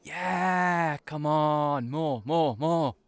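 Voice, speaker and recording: A man playing a brash, excitable young cafe worker in an audio roleplay, pushy and teasing but loyal, with emphatic, repetitive delivery. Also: fairly high pitch, drawn-out words, clean tone. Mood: eager, teasing.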